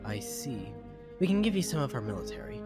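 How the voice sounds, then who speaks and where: A breezy, commanding pony princess from a storybook fan tale, acted out by a male reader. The pitch lifts and swings in a lively way. Music plays clearly behind the lines. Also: brisk, lightly acted. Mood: breezy, regal. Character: regal female pony princess, ruler commanding her soldiers.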